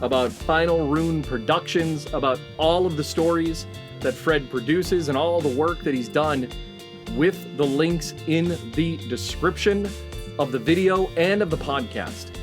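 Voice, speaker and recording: A man hosting a comedy panel game in a deliberate, mock-ceremonial way with dramatic pauses. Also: fairly high pitch. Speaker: game show host and headmaster.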